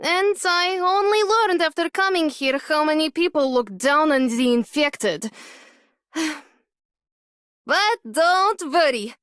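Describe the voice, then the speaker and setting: A woman's voice: high-pitched, animated, takes it slowly, a bit bright, no room sound, clean studio sound, exaggerated voice. A woman voicing a plucky, confident young fighter girl in a game. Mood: confident, reassuring. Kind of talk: scripted game character voice line.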